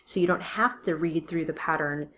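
Chatty lower-voiced woman podcast host. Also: moderate pace, fairly bright tone, a little hiss or hum. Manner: chatty, restarts sentences, laughs at own slips. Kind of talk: casual chat reviewing a knitting book. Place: home recording with a kitten nearby.

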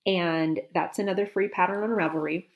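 A woman with a lowish, gravelly voice talks through her finished knits with easy enthusiasm. She is a podcast co-host in casual chat, a bit sniffly, lingering over details. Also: steady tone, brisk. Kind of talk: casual chat about knitting.

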